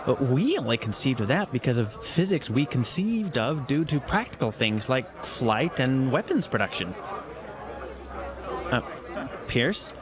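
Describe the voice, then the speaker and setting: A man acting in an audio drama as a skeptical, excitable writer friend, with a hesitant, expressive delivery. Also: mid-range voice, measured pace, a crowd in the background. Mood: skeptical, musing. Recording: music behind the voice. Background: music.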